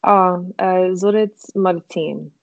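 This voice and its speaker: Female student interviewee from California, lowish voice, very fast short answers, tinny telephone-like sound. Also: steady tone, vocal fry. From California, United States. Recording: thin phone-like line.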